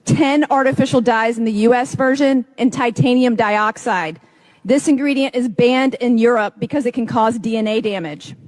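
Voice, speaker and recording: Give me a woman with a fairly high voice, briskly narrating facts. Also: a little mellow, low-quality recording. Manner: brisk, listing facts one after another. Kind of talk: informative video narration.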